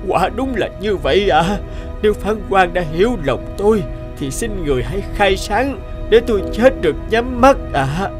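A man's voice: high-pitched, animated, moderate pace, over music. A man playing a grieving, upright old official and devoted father in a cartoon, with an emotional, imploring manner. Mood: pleading. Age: older. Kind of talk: animated cartoon dialogue.

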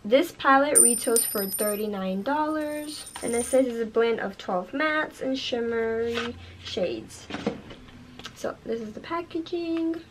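A young woman in her twenties, a bit hoarse from losing her voice, chatting casually as she unboxes and reviews makeup at home, filling her talk with "like" and "you guys". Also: a little high, expressive, measured pace, slight background noise. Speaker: beauty vlogger. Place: bedroom with makeup shelves, indoors.

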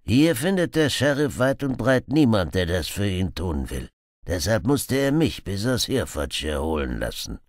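A man's voice: lowish voice, sing-song, moderate pace, no echo, clean studio sound. A man voicing a boastful, murderous outlaw in an audiobook, grim, menacing and full of contempt. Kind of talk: audiobook character acting.

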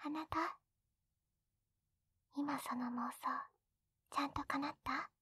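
A woman playing a sweet, clingy young wife in an intimate binaural ASMR roleplay, speaking softly and breathily close to the mic. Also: sits high, fairly even intonation, takes it slowly, no room sound, full character voice. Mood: possessive, teasing. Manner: hesitant, soft, breathy, clingy. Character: sweet, tipsy, jealous young wife doting on her husband. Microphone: close binaural dummy-head microphone.

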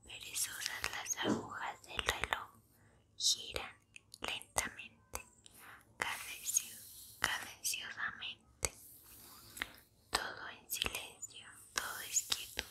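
A young woman delivers ASMR in an unvoiced, breathy whisper right up at the mic. She goes slowly and soothingly, leaving deliberate pauses, with very little movement in pitch. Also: bright, forceful tone. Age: 20s. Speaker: ASMR host. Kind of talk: whispered ASMR poetry reading and chat. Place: small room at home, indoors. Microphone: large foam-covered microphone very close to mouth.

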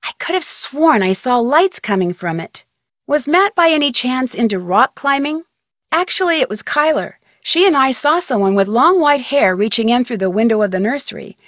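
A young female sleuth from a mystery video game, curious and polite. Her voice sits on the high side and moves with animated intonation. The sound is dry and close. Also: normal speed, no room sound. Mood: curious, probing. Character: inquisitive, polite young female amateur detective.

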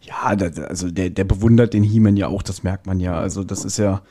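Podcast guest, male, relaxed mellow timbre, informal review conversation. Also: low-pitched, fast. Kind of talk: casual review chat.

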